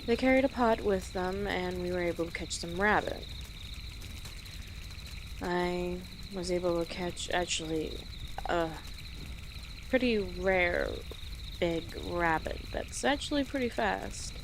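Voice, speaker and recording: A woman acts out a rugged but friendly orc woman who guides travelers, in an intimate audio roleplay. Her voice sits a little low and she takes her time, chatting gently with pauses. Clear outdoor ambience with birdsong runs behind her. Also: noisy background. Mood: fond, chatty. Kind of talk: audio roleplay, speaking to the listener. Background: birds and rural outdoor ambience.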